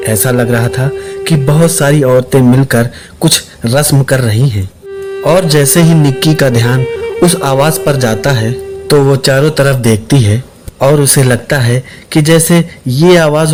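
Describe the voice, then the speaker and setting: A man narrating a scripted suspense story as the storyteller. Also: medium pitch. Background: background music.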